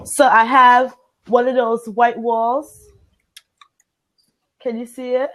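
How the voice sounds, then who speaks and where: Earnest Liberian-accented woman, slightly high voice. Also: level tone, takes it slowly, slight background noise, rough recording. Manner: earnest, emphatic, repeats phrases. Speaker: host. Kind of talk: motivational group video chat.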